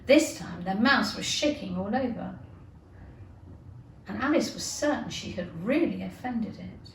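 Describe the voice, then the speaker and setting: A woman reading a children's story aloud like a teacher, with careful, expressive storytelling. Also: medium pitch, measured pace. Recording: roomy, distant, noisy amateur recording.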